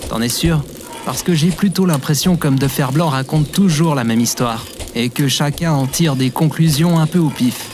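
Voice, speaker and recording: A man's voice: a little high, moderate pace, a little mellow, slight background noise, close to an everyday voice. Actor as casual, good-natured everyman. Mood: doubtful. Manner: casual, doubtful. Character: plain-spoken, good-natured, slow-witted male traveller Timo. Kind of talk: comedic audio drama acting.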